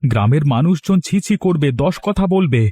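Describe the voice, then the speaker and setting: A man's voice: fairly high pitch, expressive, normal speed, warm tone, breathy edge, no echo, studio quality. A man voicing a humble, honest young farmer in a cartoon story, with an earnest manner. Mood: bewildered, protesting.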